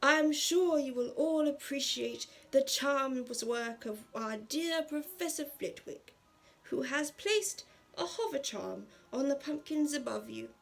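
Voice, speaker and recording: A woman's voice: high-pitched, lively intonation, a little slow, gravelly, exaggerated voice. A woman voicing an elderly male headmaster, formal and ceremonious, making a grand announcement in a story reading.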